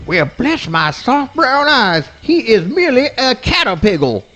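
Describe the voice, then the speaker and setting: A performer playing a gentle, timid male possum with innocent, folksy Southern swamp dialect in a live comic radio play. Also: medium pitch, very animated, unhurried, muffled recording, faint music behind. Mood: mild wonder.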